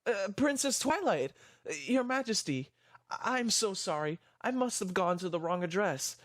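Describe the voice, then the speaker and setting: A performer voicing an apologetic, flustered father pony in an animated fan drama, stammering and hesitant. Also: mid-range voice, moderate pace, no room sound, studio quality, natural voice. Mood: nervous, apologetic.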